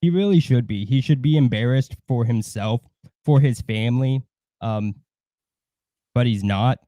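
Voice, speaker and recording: A man in casual livestream chat, blunt and disdainful, swearing heavily and haltingly circling back over his points. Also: medium pitch, warm tone, no room sound. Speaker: co-host of a livestream.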